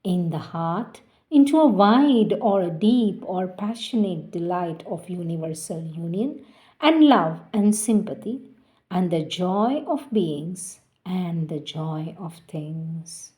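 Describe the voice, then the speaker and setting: A woman reads a spiritual text aloud at a very slow, unhurried pace. Her voice is mellow and fairly low. She is measured and reverent, and her phrases fade softly at their ends. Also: expressive. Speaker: devotional reader. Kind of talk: slow devotional reading.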